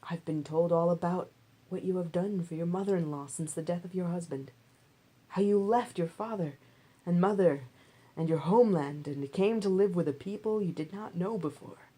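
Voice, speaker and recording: A woman voicing a kindly, protective male landowner in a Bible story, giving measured, reassuring instructions. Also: lowish voice, dark tone, husky.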